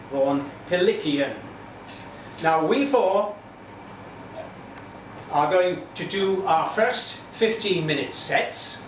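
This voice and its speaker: A man with an English accent hosts a poetry show from a stage, his wry, formal phrasing ringing through an echoey theatre. He sits well back from the microphone, his voice a touch high for a man, with a steady hum of room noise behind him. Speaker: host and poetry candidate. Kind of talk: compering a live poetry event. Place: small theatre venue. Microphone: far from the mic. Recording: echoey hall from far off, audience noise.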